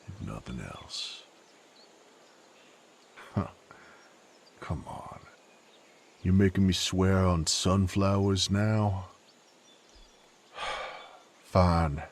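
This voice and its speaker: Guy doing a brooding rough knight for audio roleplay, super deep voice, really slow weary folksy talk, faint countryside noise behind. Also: full character voice. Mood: weary, resigned. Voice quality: very low, gravelly. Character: brooding, rough male knight with a violent past. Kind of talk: dramatic character monologue, audio roleplay.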